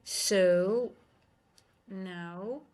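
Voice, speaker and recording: A woman with a Dutch accent, a native Dutch speaker, hosting a live trading stream, thinking aloud in halting, casual commentary. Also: medium pitch, a little mellow. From Netherlands.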